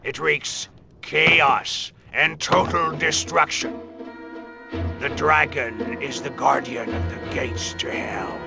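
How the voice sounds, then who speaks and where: A man's voice: a little high, steady tone, takes it slowly, gravelly. A man voicing a superstitious village hunter in a game cutscene, speaking in grave, emphatic warnings, with music behind. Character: superstitious Transylvanian village hunter, gruff and fearful. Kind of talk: dubbed game cutscene acting.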